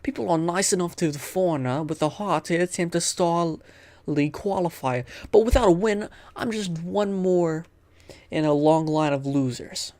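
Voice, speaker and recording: A man's voice: a little high, normal speed, darkish tone, dry recording. A man hosting a gaming video, chatting casually and reading game text aloud, often stumbling over the words as he goes.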